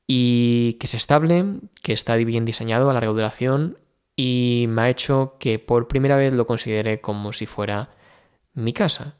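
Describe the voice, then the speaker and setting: A man's voice: mid-range voice, normal speed, smooth. A man from Spain talking casually in a vlog, thinking aloud with many fillers and restarts. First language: Spanish. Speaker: vlogger. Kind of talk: casual personal vlog talk.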